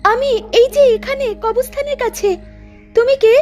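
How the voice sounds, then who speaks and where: A very high-pitched cartoon witch, acted by a woman, haunts the graveyard with menace. She draws her words out as a threat, over clear background music. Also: steady tone, normal speed, a little mellow. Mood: luring. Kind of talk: Bengali horror cartoon acting.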